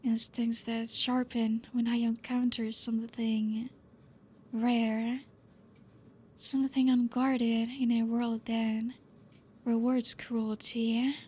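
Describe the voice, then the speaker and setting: A woman voicing a protective, possessive female vampire teacher in an ASMR roleplay. She speaks softly, calmly and with quiet command, her breathy voice slipping into whispers. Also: on the high side, takes it slowly. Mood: teasing. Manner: soft-spoken, calm, quietly commanding.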